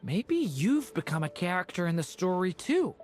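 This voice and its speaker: A man voicing a gentle young dragon in human form for a video game, polite and earnest. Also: high-pitched, very animated, moderate pace, faint music behind.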